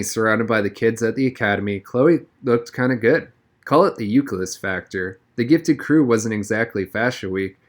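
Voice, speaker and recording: A man's voice: mid-range voice, a bit bright. Man reading a storybook aloud. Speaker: reader of a children's novel. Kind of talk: storybook read-aloud narration.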